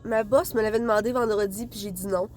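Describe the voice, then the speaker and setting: A woman's voice: mid-range voice, fairly even intonation, fast talker. A young woman with a Quebec French accent, chatting casually to her phone camera while stuffed up from a cold, with lots of fillers. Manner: chatty, rambling, many fillers. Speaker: vlogger.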